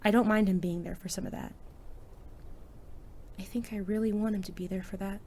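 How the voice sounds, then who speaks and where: A woman's voice: medium pitch, fairly even intonation, brisk, mellow, a little hiss or hum, close to an everyday voice. A young woman playing a guarded, wry teenage student who works as a superhero in an audio drama. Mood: reflective. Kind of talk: hobby audio drama acting.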